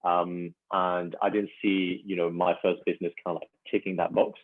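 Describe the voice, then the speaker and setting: A young man from France talks haltingly through a podcast interview, dotting his answers with fillers. His voice sits very low. It comes through a tinny, phone-like call line that sounds dry and close. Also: flat delivery, dry recording. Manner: halting, full of "you know" fillers. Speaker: startup founder guest.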